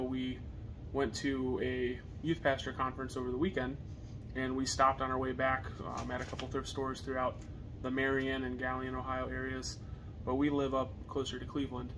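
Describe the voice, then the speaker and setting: A young man with an American accent chatting casually to camera as a reseller, with halting phrasing. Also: mid-range voice. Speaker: reseller co-hosting a video channel. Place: partially finished basement, indoors. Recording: noisy basement room recording.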